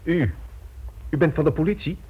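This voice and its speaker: A man's voice: a little high, moderate pace, slightly rough. A man playing an earnest, insistent writer with a story to report, in a Dutch radio drama. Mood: startled, agitated. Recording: thin muffled old radio recording.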